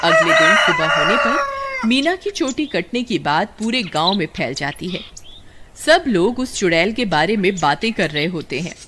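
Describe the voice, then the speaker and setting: A woman's voice: mid-range voice, huge pitch swings, normal speed, very noisy. A woman narrating a scripted story as a storyteller. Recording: loud music under the voice.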